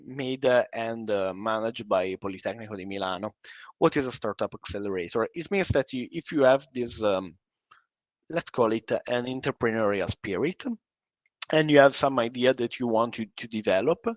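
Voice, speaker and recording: A man giving a halting, informal live presentation as a university representative, on dull online call audio. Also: medium pitch, no echo.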